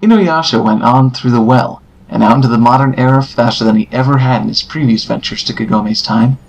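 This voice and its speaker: A man's voice: mid-range voice, brisk, creaky, poor audio. A man reading scripted story narration for an amateur audio drama.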